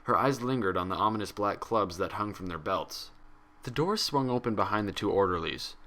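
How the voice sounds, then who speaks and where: A man's voice: on the low side, expressive, a little fast, bright, forceful tone. A man reading a scripted story aloud as its narrator. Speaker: fan fiction reader.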